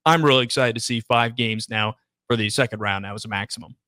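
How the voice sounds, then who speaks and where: A man's voice: mid-range voice, fast, pushed and bright, no echo. A man hosting a sports radio show in a conversational style, on a broadcast microphone in a studio.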